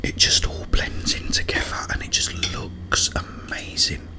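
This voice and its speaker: A man hosting an ASMR video, rambling casually in a breathy, unvoiced whisper with drawn-out phrasing.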